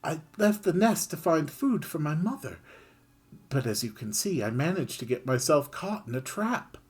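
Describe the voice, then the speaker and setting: Player doing a squeaky polite mouse creature, whiny and begging, husky edge. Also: sing-song, moderate pace, a little mellow. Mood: pleading. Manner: overly polite, whiny and pleading. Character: sly pleading mouse, secretly a griffin.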